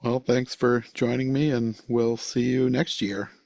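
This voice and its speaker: A man's voice: mid-range voice, flat delivery, measured pace, creaky, darkish tone, no echo. A man hosting a podcast in a casual, conversational style. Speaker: podcast host.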